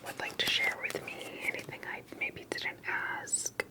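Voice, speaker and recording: A woman speaking in a breathy, unvoiced whisper, gently and hesitantly putting questions in an ASMR roleplay interview, with soft unhurried pauses. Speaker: ASMR performer playing an interviewer.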